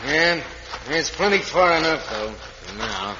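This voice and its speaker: Scheming cowboy villain, American, forceful, slightly high voice, gruff western talk, echoing vintage radio. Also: male voice, sing-song, a little slow, noisy background. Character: surly, scheming western mine-grabbing villain. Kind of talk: 1950s radio western acting. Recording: echoey, dull old radio recording.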